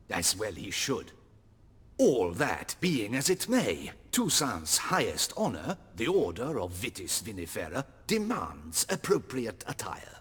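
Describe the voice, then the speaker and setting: Snobbish tailor, hugely animated pitch, slow delivery, husky, prim, pompous, game dialogue. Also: male voice, big-room sound, heavily acted. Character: haughty, fussy male master tailor, prickly snob. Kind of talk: video game voice acting.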